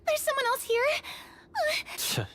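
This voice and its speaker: A woman voicing an excitable, earnest young girl adventurer in an anime game, eager and easily flustered. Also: very high voice, drawn-out words, very noisy, exaggerated voice. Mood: alarmed. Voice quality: girlish. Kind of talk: anime video game voice acting. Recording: music playing behind the voice.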